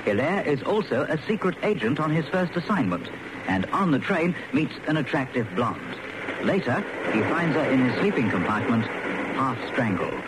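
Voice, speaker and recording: A man's voice: medium pitch, big pitch swings, moderate pace. A man reading scripted narration as the announcer of a radio drama. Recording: very noisy old radio recording.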